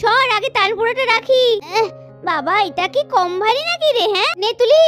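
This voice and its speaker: A woman voicing eager, bossy little children in a village doll cartoon, with squeaky, chattering delivery. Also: expressive, normal speed, fairly bright tone. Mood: busy, playful. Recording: faint children's music behind.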